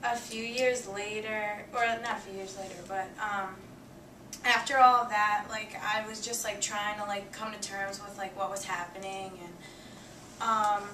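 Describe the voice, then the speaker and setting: A woman's voice: mid-range voice, little pitch movement, measured pace, bright, forceful tone. A twenty-year-old woman from New Jersey, a student giving a casual personal introduction to her class, rambling and leaning heavily on "like". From New Jersey, USA. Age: 20s. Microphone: far camera. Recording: echoey classroom from far off with noise.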